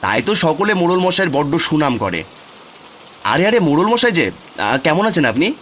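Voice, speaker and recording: A poor village father in a cartoon fairy tale, humble and grateful. He talks fast with animated intonation. Faint rain sits behind the voice. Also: a little high, a little hiss or hum.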